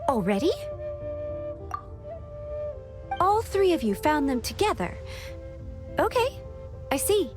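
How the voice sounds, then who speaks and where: A woman playing a polite young secretary who makes official announcements in a video game, enunciating clearly. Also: high voice. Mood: mildly surprised. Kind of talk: scripted video game voice acting.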